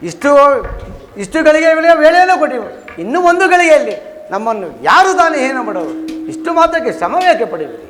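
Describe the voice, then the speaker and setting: A man's voice: very high voice, very animated, fairly bright tone, slight background noise, exaggerated voice. An actor playing a wily warrior courtier who placates his lord in a declamatory mythological stage drama. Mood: placating. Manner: placating, measured, theatrical. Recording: reverberant hall, faint music behind.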